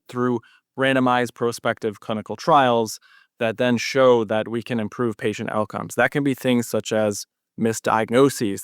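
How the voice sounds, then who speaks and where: A man's voice: medium pitch, smooth, no echo, clean studio sound. A man speaking as an expert guest in an interview, explaining things conversationally with technical jargon. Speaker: guest physician researcher.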